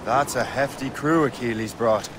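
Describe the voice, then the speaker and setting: A man's voice: medium pitch, moderate pace, noisy background, lightly acted. A man voicing a hardened young ship captain who turned traitor, speaking bluntly and tersely in video game cutscenes. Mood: wary. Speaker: game character. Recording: game audio with music score.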